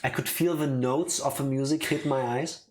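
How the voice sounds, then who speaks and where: A man's voice: medium pitch, steady tone, normal speed. A man giving casual commentary as he streams a video game. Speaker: let's play streamer.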